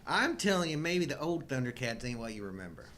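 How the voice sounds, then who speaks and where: Middle aged guy chatting on a livestream, really up and down voice, jokey and wry, some rumbly noise in the background. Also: big pitch swings, brisk, fairly bright tone. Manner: playful, wry joking. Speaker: co-host of a live show. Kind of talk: casual banter.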